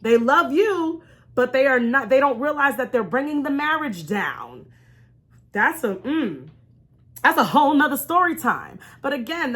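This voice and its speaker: A woman's voice: high-pitched, fairly bright tone. A woman giving a motivational vlog talk in an emphatic way, repeating key phrases and speaking straight to her viewers. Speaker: vlogger and life coach. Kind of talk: motivational storytime vlog with faith-based encouragement.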